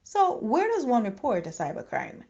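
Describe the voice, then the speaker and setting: A woman's voice: a little high, wildly swooping pitch, moderate pace, creaky, a little mellow. A woman narrating a scripted news report as a reporter. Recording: dull, lo-fi voice track.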